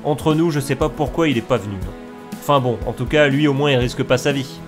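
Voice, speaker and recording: A man's voice: medium pitch, fairly quick, music in the background, natural voice. A man playing a wary, grumbling holidaymaker in a comedy audio drama, hesitant and resigned. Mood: weary.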